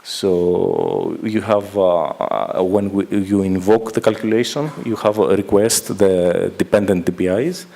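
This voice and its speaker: A middle-aged man from Greece presents technical work at a conference, his voice sitting a little low. He talks haltingly, with frequent fillers and restarts. He holds his microphone away from his mouth on a large hall stage. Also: fairly even intonation, creaky, mellow. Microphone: handheld microphone held at a distance.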